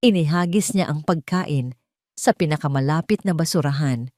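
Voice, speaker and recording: A woman's voice: low voice, moderate pace, darkish tone, no room sound, clean studio sound. A woman with a Filipino accent reading dramatic first-person scripted narration as a storyteller.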